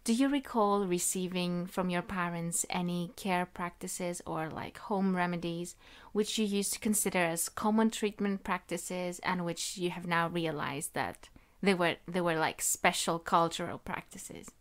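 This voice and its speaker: Woman host asking podcast questions, slightly low voice, short "wow"s and "nice"s. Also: fairly even intonation, measured pace. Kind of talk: interviewing a guest.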